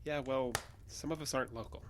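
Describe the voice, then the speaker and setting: A man's voice: mid-range voice, fairly even intonation, brisk, background noise, natural voice. A man playing a stubborn, evasive wounded fugitive in an audio drama, speaking in a defensive way. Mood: guarded, irritable.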